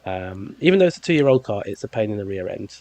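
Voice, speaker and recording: A man's voice: lowish voice, big pitch swings, fast talker, dark tone, no room sound. Chatty London man with a dry, close sound. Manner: rambling, jokey, many ums. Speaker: podcast host. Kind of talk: casual chat.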